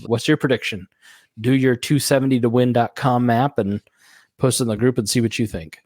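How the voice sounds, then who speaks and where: A man with a raspy, tired voice hosts a relaxed political podcast. He talks loosely and jokes easily. His voice sits at an ordinary pitch, recorded close and dry. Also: dry recording. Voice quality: raspy, worn-out voice. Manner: loose, conversational, quick to joke. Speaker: podcast host. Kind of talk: casual political chat.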